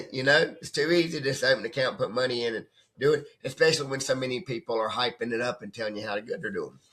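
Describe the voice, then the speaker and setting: Hoarse man giving a rambling coaching talk. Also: mid-range voice, fast, sharp tone, rough recording. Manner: rambling and conversational, often asks "right?". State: hoarse, voice nearly lost. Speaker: forex trading coach. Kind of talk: casual off-the-cuff coaching talk. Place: at his computer desk.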